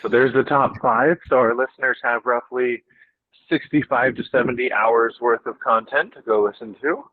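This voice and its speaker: A man's voice: medium pitch, steady tone, moderate pace, dry recording. Affirming male host, slightly dull dry audio. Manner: affirming, brief agreeable responses. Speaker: podcast host. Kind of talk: casual conversational hosting.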